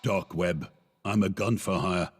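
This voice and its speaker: A man's voice: low voice, measured pace, husky, in a large hall. A man playing a boastful, crude mercenary with a swaggering manner in a radio play. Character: boastful, foul-mouthed mercenary gun for hire.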